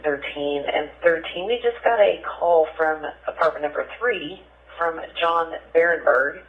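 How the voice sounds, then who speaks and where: A police radio dispatcher relaying calls to units. The voice comes through a very narrow, tinny scanner channel, with a mid-range pitch. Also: a little slow. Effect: two-way police radio.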